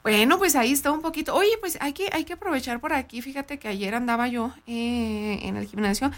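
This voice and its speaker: Shrill, high-pitched northern Mexican woman. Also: a little fast. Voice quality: shrill, squeaky voice. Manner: loud, excitable, chatty. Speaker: radio co-host. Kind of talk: casual radio chat.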